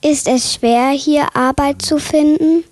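A woman asks short, formal, polite interview questions. Her voice sits high and sounds dry and close. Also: steady tone, takes it slowly, darkish tone, dry recording.

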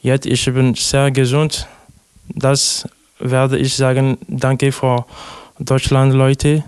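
Young man from Togo, slow and level, halting with fillers, very clear dry close voice. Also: mid-range voice, completely flat delivery, a little mellow, dry recording. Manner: hesitant, many "äh" fillers, simple sentences. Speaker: interviewee, refugee. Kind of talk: halting interview answers in learner German.

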